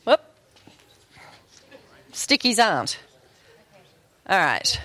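A woman facilitating a workshop meeting in a meeting room, brisk and chatty, often checking in with the group. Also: medium pitch, very animated, sharp tone, no echo.

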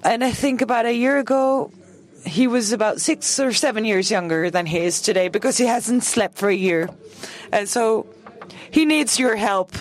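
A man's voice: high voice, normal speed. A man chairing a conference session, earnest and encouraging as he introduces speakers. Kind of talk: formal introductions.

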